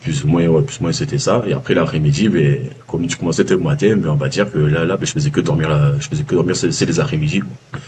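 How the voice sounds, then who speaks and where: A man with a Southern French accent, a former baker giving a casual testimonial, halting with many fillers and restarts. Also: very deep voice, background noise. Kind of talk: casual video-call testimonial. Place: calling from abroad, early morning.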